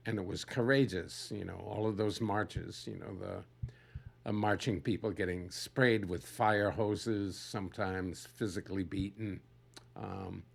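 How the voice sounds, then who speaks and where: Older guy talking off the cuff, really slow, kinda deep voice, keeps searching for words. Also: vocal fry. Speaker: speaker giving a personal talk. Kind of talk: unscripted reflective monologue.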